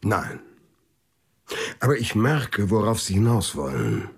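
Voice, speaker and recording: An actor playing a candid, thoughtful companion to a detective in a German radio play, reasoning frankly step by step. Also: male voice, lowish voice, big pitch swings, slow, vocal fry, slightly rough, full character voice. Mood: candid, reflective.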